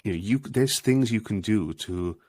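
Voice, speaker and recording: A man's voice: lowish voice, normal speed, a little mellow, dry recording. A man with a Swansea Welsh accent chatting as a podcast guest, with lots of restarts and quoted voices. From Wales. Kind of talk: casual interview chat.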